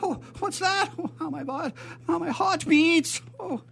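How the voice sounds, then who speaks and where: High-voiced, jumpy, nervous assistant. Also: male voice, a little slow, gravelly, over loud music, heavily acted. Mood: panicky. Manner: trembling, exclamatory, fretful. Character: nervous, fretful male laboratory assistant, jumpy and quaking. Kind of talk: stage melodrama radio play.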